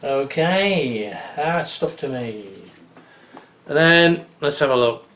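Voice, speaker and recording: A man's voice: on the high side, expressive, slow, a bit bright. A man in his fifties, hosting a casual bushcraft tip from his home office webcam, chatty and folksy, muttering as he carves. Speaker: bushcraft channel host. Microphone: webcam on desk.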